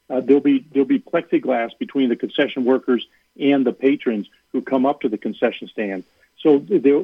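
A man's voice: medium pitch, creaky, slightly rough, no echo. A man guest on a sports radio show, giving earnest answers and repeating words for emphasis. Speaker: athletic director guest. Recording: phone line.

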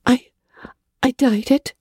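A woman voicing a dramatic, imaginative orphan girl who speaks high, breathless and tearful, often dropping to a whisper. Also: takes it slowly, dark tone, dry recording, clean studio sound, close to an everyday voice. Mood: pleading. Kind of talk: audiobook character reading.

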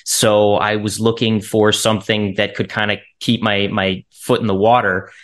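A man's voice: fairly low pitch, flat delivery, normal speed, a bit bright. A man, an actor being interviewed, explaining things in a casual, straightforward way.

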